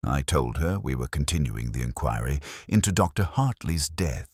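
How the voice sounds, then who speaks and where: Very deep-voiced man narrating a detective story. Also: expressive, a little mellow, slightly rough, no room sound, studio quality. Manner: measured, literary, reflective delivery. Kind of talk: scripted first-person detective story narration.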